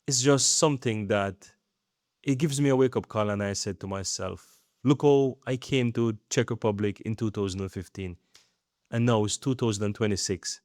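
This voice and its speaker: A man's voice: fairly low pitch, normal speed, a little mellow, dry recording, studio quality. A man in his thirties talking earnestly in a rambling vlog, often checking in with "right?". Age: 30s. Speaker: YouTube creator. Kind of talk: casual talking-head vlog.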